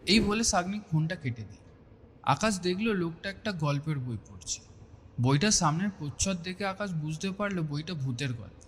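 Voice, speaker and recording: A man's voice: on the high side, lively intonation, moderate pace, a little hiss or hum. A man giving a scripted narration of a horror story. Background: music.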